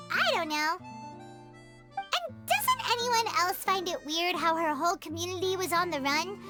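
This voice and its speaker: Squeaky, very high little fairy girl. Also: heavily acted. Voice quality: squeaky, childlike. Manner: refers to self in third person, frank. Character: small childlike floating fairy companion girl, blunt and chirpy. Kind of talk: video game voice acting. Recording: music behind the voice.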